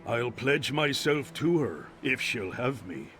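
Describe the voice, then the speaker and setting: An actor playing a remorseful, loyal Nord warrior who talks sincerely in a video game cutscene, with music behind. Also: male voice, mid-range voice, big pitch swings, takes it slowly. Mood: regretful. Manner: regretful, sincere.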